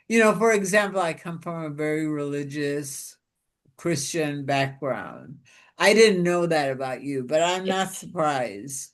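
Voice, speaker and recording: A woman from Pakistan hosting a casual interview over a video call, talking in a musing way with many restarts. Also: sits low. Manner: slow and musing, with many restarts and "you know". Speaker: interviewer and show host.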